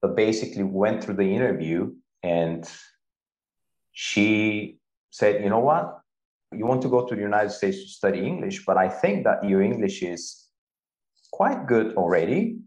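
A middle-aged man from Bulgaria with a Bulgarian accent, telling a personal story deliberately and emphatically as an interview guest. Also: lowish voice, lively intonation, darkish tone. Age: 40s. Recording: echoey room.

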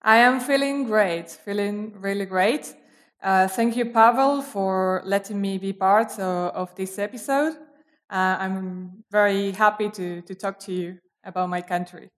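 A woman chats as a guest on a video call. Her voice sits at an ordinary middle pitch, and she hesitates often, filling pauses and restarting her sentences. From Argentina. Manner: hesitant, many fillers and restarts. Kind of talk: casual interview conversation.

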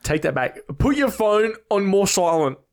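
A man co-hosting a podcast, teasing his friend with crude jokes and laughing often. His voice sits fairly high for a man and swings up and down a lot. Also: very animated, moderate pace. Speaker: podcast co-host. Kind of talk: casual banter.

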